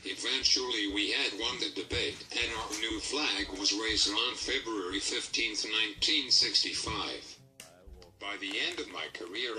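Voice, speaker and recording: A man's voice: on the low side, sharp tone. A man playing a proud Canadian statesman, reading a formal scripted first-person life story. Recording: distant mic, music behind.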